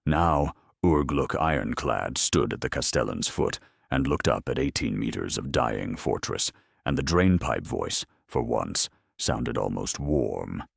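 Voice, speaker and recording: An extremely low-voiced man delivers dramatic scripted narration of a grim battle tale. His voice is thick with gravel and creak, and he takes his time, pausing heavily between phrases in a dry, close recording. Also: expressive, dry recording. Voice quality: very deep gravelly growl. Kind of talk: dramatic scripted narration of a grimdark war story.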